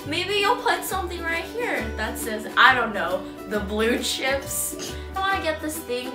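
A woman's voice: fairly high pitch, reverberant, recorded from a distance. A young woman from the Bay Area, a college student, giving sassy joking tips to a camera in a dorm room. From Bay Area, California. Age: young adult. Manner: sassy, playful, laughs mid-sentence.

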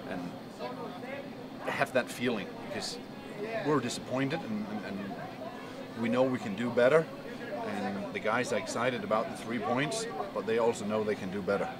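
A middle-aged man, a soccer coach, speaking haltingly and emphatically in a postgame interview. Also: on the low side, expressive. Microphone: handheld interview microphone. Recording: slightly distant, loud crowd behind.

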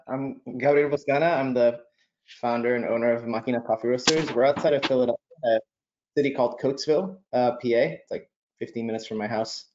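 A man's voice: medium pitch, echoey room, dull audio, background noise. A man introducing himself on a video panel as a coffee roastery owner, casual and laid-back.

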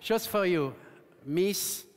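A man in his sixties with a French accent, a ventriloquist contestant speaking halting, simple English on stage and in interview. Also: high voice, expressive. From France. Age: 60s. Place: large theatre stage and backstage interview. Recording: loud music and audience noise.

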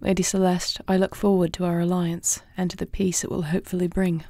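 A woman playing a poised young warrior princess in a fantasy audio roleplay, speaking with formal, measured, regal diction. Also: on the low side, little pitch movement, mellow, no echo. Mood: diplomatic. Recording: faint night cricket ambience.

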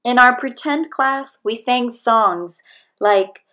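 A woman reading a children's picture book aloud as scripted narration, with expressive, deliberate storytelling delivery. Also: a little high. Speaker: storybook reader.

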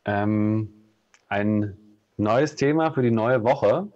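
A man in his thirties with a Berlin accent, co-hosting a casual live stream from home, relaxed and full of hesitations. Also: lowish voice, takes it slowly. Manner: relaxed, many fillers and hesitations. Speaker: co-host. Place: home room with bookshelf. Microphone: video call webcam. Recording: dull lo-fi video call audio.

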